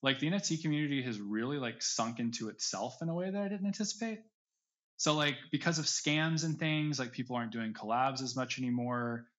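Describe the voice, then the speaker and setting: A man's voice: medium pitch, a little fast, a bit bright. Man in casual interview, slightly dull audio. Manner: informal, heavy use of "like" and "right". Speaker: guest, NFT project creator.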